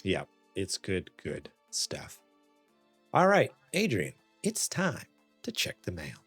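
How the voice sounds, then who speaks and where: A man's voice: low-pitched, huge pitch swings, slow, fairly bright tone, slightly rough, no room sound. A man of about thirty hosting a podcast with casual, jokey, self-deprecating banter. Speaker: podcast host. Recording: music playing behind. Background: background music.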